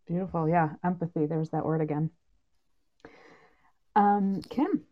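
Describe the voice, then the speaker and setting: A woman's voice: fairly low pitch, a little slow. A woman moderating a panel in a casual, tentative way, with rambling, open-ended questions. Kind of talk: casual interviewing. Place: remote online live panel. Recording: slightly dull online audio.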